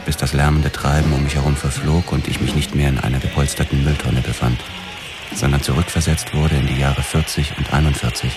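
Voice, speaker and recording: A hard-boiled police narrator and ex-boxer in a radio drama. His voice is extremely low, deadpan and world-weary. Loud music runs behind him. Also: fairly quick. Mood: wry, brooding.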